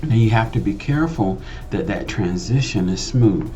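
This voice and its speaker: A man's voice: fairly low pitch, lively intonation, normal speed, dark tone, dull audio, soft background music. A man giving a casual tutorial voice-over in a conversational, explanatory way, repeating phrases for emphasis. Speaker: host of a video editing tutorial channel.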